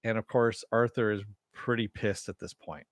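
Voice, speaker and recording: Low-voiced man rambling on a dry mic. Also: steady tone, normal speed, no echo. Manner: rambling, chatty, talks to live chat. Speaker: show host reviewing a TV episode. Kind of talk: casual live-stream chat and recap. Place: home room. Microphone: headset mic with headphones.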